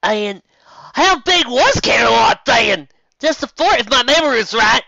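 A man's voice: high voice, animated, moderate pace, sharp tone, dry recording, highs cut off, voice pushed up. A man voicing a thoughtful man trying to recall the past, in a fan storybook reading. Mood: pondering.

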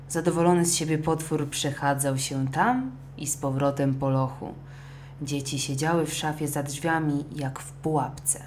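A woman narrating a scripted children's audio story in an expressive, dramatic way. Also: low voice.

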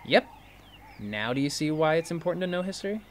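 A man's voice: a little high, fairly quick, lightly acted. Man acting an impulsive, curious, defensive friend, noisy ambience. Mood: eager. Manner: animated protests, inquisitive, defensive. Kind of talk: naturalistic audio drama dialogue.

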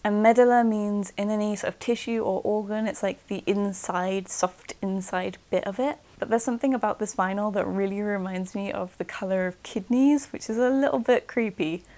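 A young woman, talking casually and warmly to camera about her records from her bedroom. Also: medium pitch. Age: young adult. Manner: enthusiastic, thoughtful, slightly chatty. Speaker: vlogger reviewing records. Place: bedroom, indoors.

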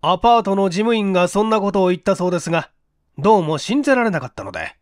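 Casting: male reader as police chief, firm yet kindly, rather high animated voice, pressing questions, dry mystery audiobook. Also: fast, no room sound. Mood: surprised, probing. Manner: pressing, authoritative, formal questioning. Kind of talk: dramatized audiobook reading.

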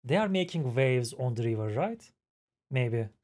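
A man in his thirties with a Turkish accent, hosting a reaction video with halting, admiring remarks and fillers, speaking into a headset mic in a small room at home. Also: medium pitch, animated. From Turkey. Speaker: reaction channel host. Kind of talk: casual reaction commentary. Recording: loud music from the video underneath.

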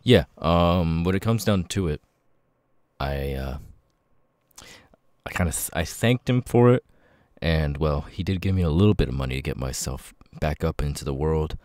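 A man voicing an apologetic, troubled ex-convict with tics, talking haltingly and stammering in an ASMR audio roleplay. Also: very deep voice, expressive, no room sound, clean studio sound. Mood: confiding, hesitant. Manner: halting, stammering, sudden loud tic outbursts.

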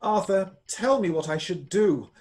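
A man acts a despairing husband in a period radio play. He is an earnest gentleman, melodramatic, with a fairly high voice. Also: animated, measured pace. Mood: anguished.

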